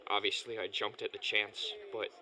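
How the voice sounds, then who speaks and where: Eager young guy, bright urgent voice. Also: on the low side, flat delivery, normal speed. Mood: eager, puzzled. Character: earnest, eager, bewildered young male narrating into recorder. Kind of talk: family audio drama acting.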